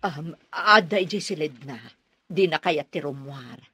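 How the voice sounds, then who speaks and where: A woman's voice: low voice, a little slow, sharp tone, breathy edge, heavily acted. An actress with a Filipino accent playing a worried, plaintive older mother in a radio drama. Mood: concerned.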